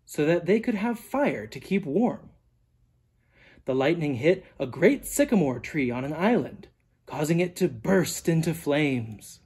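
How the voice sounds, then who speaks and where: A husky, mellow voice reads a children's story aloud. The delivery is animated and deliberate, with a lively swing in pitch and dramatic emphasis for young listeners. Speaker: storyteller reading a children's book aloud, teacher. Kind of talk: expressive read-aloud narration for children.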